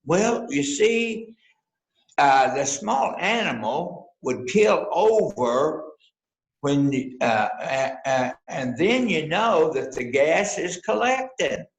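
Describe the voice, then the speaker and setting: An echoey room carries a man acting as a country lawman in an amateur readers theater mystery. He talks slowly and haltingly in a fairly high male voice, folksy and none too quick. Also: sing-song, vocal fry, heavily acted. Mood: folksy, hesitant. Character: slow-witted folksy small-town male lawman.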